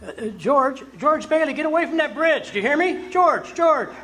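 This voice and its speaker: An actor playing a timid, eager apprentice angel in a live radio play. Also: male voice, very high-pitched, expressive, moderate pace, a bit bright. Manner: anxious, eager. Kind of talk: live radio drama acting.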